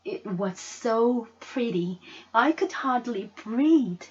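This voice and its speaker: A woman reading a story aloud with expressive narration. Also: fairly high pitch, slow pace, lo-fi sound, slight background noise. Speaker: story reader.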